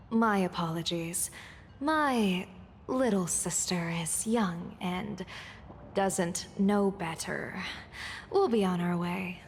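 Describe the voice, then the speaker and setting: An actress playing a composed older sister in a video game, measured and restrained. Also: female voice, on the low side, a little hiss or hum. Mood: reserved. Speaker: game character. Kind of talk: game voice acting.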